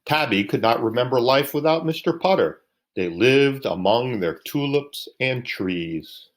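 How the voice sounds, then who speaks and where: A man's voice: mid-range voice, lively intonation, unhurried. A man reading a children's bedtime story aloud. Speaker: storybook reader.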